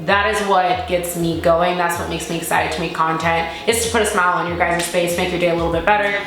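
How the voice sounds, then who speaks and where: A woman's voice: lowish voice, fairly even intonation, fast, a bit bright. A young woman in her late twenties chatting casually and emphatically as a vlogger, peppering her talk with "like". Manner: chatty, emphatic, heavy use of "like". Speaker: vlogger, content creator. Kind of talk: casual chat Q&A to camera. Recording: very echoey room, music underneath.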